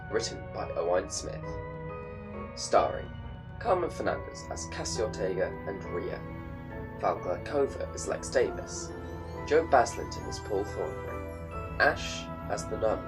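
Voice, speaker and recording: A man reads the end credits in a measured, formal way. His voice sits a bit low. Clear music plays beneath him. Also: lively intonation. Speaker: announcer. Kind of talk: scripted credits. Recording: music under the voice.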